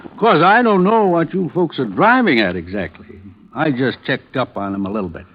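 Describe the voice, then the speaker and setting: An actor playing an easygoing American police sergeant in 1950s radio drama, casual, clipped and plain-spoken. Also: male voice, mid-range voice, lively intonation, normal speed, lightly acted. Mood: easygoing, matter-of-fact. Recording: muffled old radio recording.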